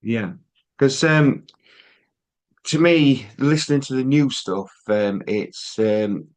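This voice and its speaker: A man's voice: mid-range voice, takes it slowly, a little mellow. A man hosting a casual interview over a video call, friendly and hesitant with "um". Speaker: host.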